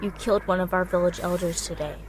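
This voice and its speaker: A man voicing an ominous, vengeful villager in a horror audio story, eerily calm and menacing. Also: high-pitched, fast, a little hiss or hum, voice pushed up, natural voice, low-quality recording. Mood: calm menace.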